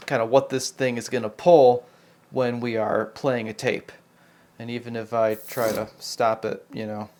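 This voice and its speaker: An American man giving an unscripted, hands-on technical demonstration, talking casually and thinking aloud as he works through it as host. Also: mid-range voice. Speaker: host of a repair video channel.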